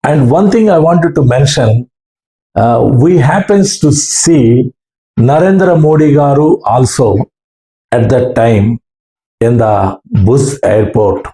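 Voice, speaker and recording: Man, South Indian accent, slow halting delivery, mellow tone, personal recollection, roomy echo. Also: medium pitch. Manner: halting, earnest delivery in short phrases. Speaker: amateur radio operator. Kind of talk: recounting personal experience. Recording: roomy, echoing room sound.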